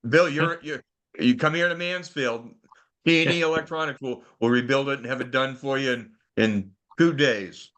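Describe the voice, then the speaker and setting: A man with a sharp, bright voice talks informally in a group discussion. He offers practical advice to fellow hobbyists over a conference call. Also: medium pitch, moderate pace. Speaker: club member giving technical advice.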